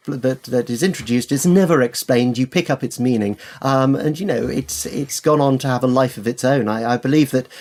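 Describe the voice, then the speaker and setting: A man with a medium-pitched voice speaks as an expert guest in a conversational interview. He explains with enthusiasm, often hesitating and then repeating words for emphasis. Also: normal speed, hardly any pauses, a little mellow. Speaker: literary expert guest, author and editor.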